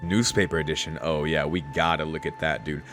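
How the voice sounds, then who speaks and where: A man's voice: extremely low pitch, level tone, fairly quick, music in the background. A young man giving casual game commentary as a streamer, musing in a laid-back, slangy way and reading text aloud. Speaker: streamer playing a visual novel.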